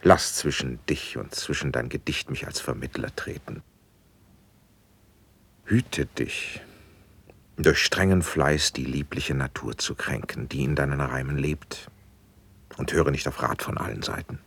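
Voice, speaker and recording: An actor playing a gracious, measured prince in a classic German verse radio drama, speaking in formal, deliberate declamation. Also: male voice, extremely low pitch, vocal fry, a bit bright, breathy edge, exaggerated voice. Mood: gently advising.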